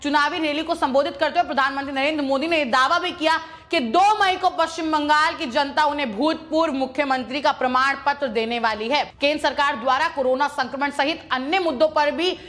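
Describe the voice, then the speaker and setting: A woman's voice: high-pitched, brisk, few pauses, a bit bright. A woman presenting opinionated news commentary in an emphatic, accusatory, repetitive way.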